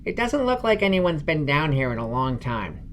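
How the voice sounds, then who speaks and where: A man's voice: a little high, very animated, normal speed, slight background noise. A man voicing a female gnome spellcaster in a fantasy story, with a stern, instructive and wry manner. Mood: explaining, focused. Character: bossy, dry-humored female gnome spellcaster.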